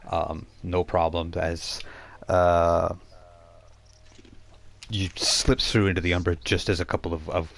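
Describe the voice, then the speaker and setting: A man narrating a live tabletop role-playing game as game master, improvising scenes and haltingly searching for words. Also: low voice, vocal fry.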